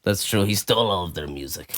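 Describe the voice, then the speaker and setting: A young man with a lowish voice co-hosting a comedy podcast. He riffs with goofy wordplay and lots of rise and fall in his speech. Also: expressive, fast talker, fairly bright tone. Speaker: podcast co-host. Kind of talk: improvised comedy podcast banter.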